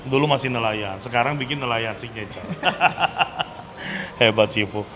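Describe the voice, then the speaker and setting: A man's voice: medium pitch, unhurried, a crowd in the background. A man with an Indonesian accent giving a casual food review, chatty and addressing viewers as friends. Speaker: food vlogger host. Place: restaurant. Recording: clear background noise, restaurant ambience.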